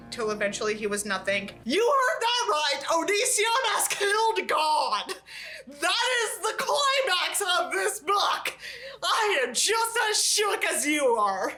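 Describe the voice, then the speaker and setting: A woman's voice: fairly high pitch, sharp tone. A woman reading a novel aloud in a theatrical, sarcastic way, as a reviewer mocking it. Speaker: YouTube book reviewer.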